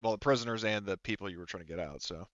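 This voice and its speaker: Game master: man, low smooth voice, halting casual improvised narration, muffled dry mic. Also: fairly bright tone, dry recording. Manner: halting, informal, swears casually.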